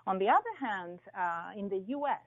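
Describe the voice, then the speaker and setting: A woman from Greece, a guest in an interview, talking slowly and thoughtfully with many pauses and fillers. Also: medium pitch, lively intonation, fairly bright tone, lo-fi sound. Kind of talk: interview conversation.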